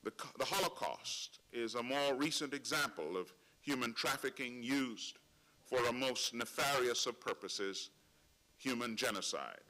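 A middle-aged man from Trinidad, seated at a panel table, delivering a prepared speech in measured, solemn tones with slow emphatic pauses into a table microphone. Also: medium pitch, animated, pushed and bright, low-quality recording. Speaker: conference speaker. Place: large conference hall, seated at a panel table.

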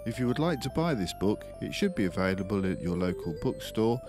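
An older man reading a children's book aloud as storyteller, unhurried and expressive. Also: on the low side, over music.

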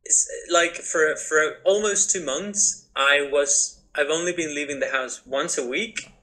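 A man's voice: a little high, sharp tone. A young man, answering interview questions conversationally on a video call, often repeating and rephrasing as he goes. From Spain. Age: young adult. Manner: halting, repeats and rephrases, frequent "like". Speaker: interviewee, business owner. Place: indoors in a home room. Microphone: laptop on a video call.

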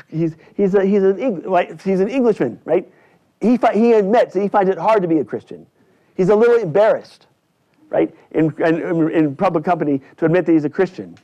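A male pastor delivering a church sermon in deliberate, emphatic short phrases with repetition. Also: on the high side, dark tone. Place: indoors in a church at a lectern. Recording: dull, slightly lo-fi church recording.

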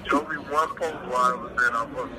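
A man's voice: mid-range voice, steady tone, fairly quick, pushed and bright. A man defending himself in a phone argument on speaker, insistent, repeating himself and cutting in. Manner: insistent, repetitive, interrupting. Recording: speakerphone call with noisy chatter behind.

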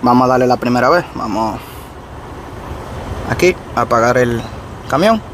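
A man's voice: mid-range voice, expressive, normal speed, noisy background. A man in his thirties, a trucker vlogger, explaining step by step straight to his viewers from the cab of his parked truck. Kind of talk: casual how-to vlog. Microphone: camera on the dashboard facing him.

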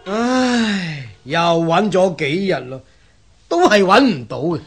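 A simple, excitable labourer in a radio drama. His voice is high and swoops about, full of exclamations, repetition and grumbling. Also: takes it slowly, husky, faint music behind, heavily acted. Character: Chen, excitable, simple, grumbling male labourer searching a river. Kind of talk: Cantonese radio drama acting.

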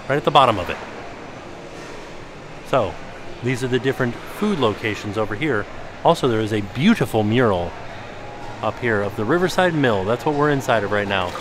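A man's voice: mid-range voice, background noise. A man giving a casual, curious walking-tour commentary while filming on a handheld camera. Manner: chatty, curious, thinking aloud. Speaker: vlogger touring a resort. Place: indoor restaurant hall and outdoor resort grounds.

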